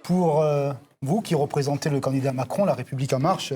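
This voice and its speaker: A man co-hosting a televised political debate, setting out the programme in a brisk, explanatory way. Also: on the high side, mellow, slightly rough. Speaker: debate co-host.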